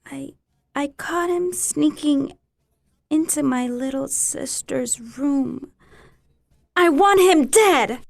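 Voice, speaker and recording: Woman as guarded teenage girl, high slow voice. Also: creaky, no room sound, full character voice. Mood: frightened, anguished. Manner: hesitant, defensive. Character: troubled, guarded teenage girl, sullen and frightened. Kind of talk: staged dramatic reading.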